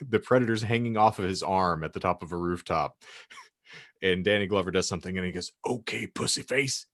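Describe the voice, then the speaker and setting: A man's voice: low-pitched, normal speed, no echo, clean studio sound. A man hosting a comedy podcast, chatting casually and reading aloud in a jokey, theatrical way. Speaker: podcast host.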